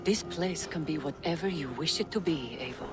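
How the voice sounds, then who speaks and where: An actress playing an old woman seer, calm and resigned, speaking gently and soothingly. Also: deep voice, moderate pace, natural voice. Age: older. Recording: game audio with music behind.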